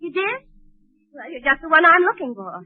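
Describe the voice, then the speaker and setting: An actress playing a wry, long-suffering English teacher with dry, sardonic delivery in a 1940s radio comedy. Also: female voice, very high-pitched, sing-song, fairly quick. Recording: tinny, band-limited 1940s radio recording.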